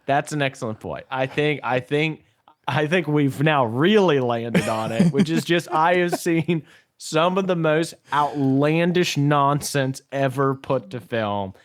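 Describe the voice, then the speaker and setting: A man's voice: fairly high pitch, measured pace. A man co-hosting a casual podcast, playful and often repeating himself as he works out a thought. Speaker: podcast co-host. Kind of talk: casual chat.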